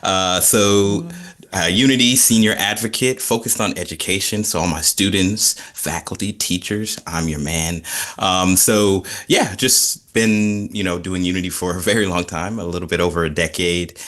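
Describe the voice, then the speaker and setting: Man, very low voice, cheerful, self-deprecating, chuckles, video call panel. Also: steady tone, normal speed, fairly bright tone. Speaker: education advocate, panelist. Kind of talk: casual panel introduction.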